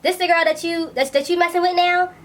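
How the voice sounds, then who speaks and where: A jealous young woman confronting a rival, her voice jumping up high and fast, as a storyteller puts her on. She talks loudly and accusingly in a slangy way. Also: fairly even intonation.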